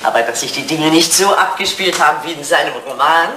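A woman's voice: low voice, brisk, pushed and bright. Dignified old lady, heard distantly. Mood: proud, insistent. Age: older. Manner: ladylike, inquisitive, theatrical. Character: elderly English lady, curious, dignified old flame. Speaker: actress in a radio play. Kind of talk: 1980s German radio drama acting. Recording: distant, roomy, noisy old radio recording.

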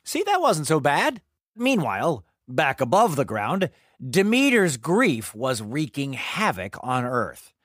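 A man narrates children's stories as host. His voice is a little high and swings widely in pitch, dropping into chatty asides. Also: wildly swooping pitch, takes it slowly, a bit bright, dry recording, clean studio sound. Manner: conversational asides. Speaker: host and storyteller. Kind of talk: animated scripted storytelling for children.

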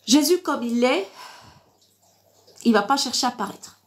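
Congested woman preaching, higher-pitched voice. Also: expressive, unhurried. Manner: didactic, repeats phrases, punctuated with amens. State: congested with a blocked nose. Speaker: online preacher. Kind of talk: informal live Bible teaching with verse reading.

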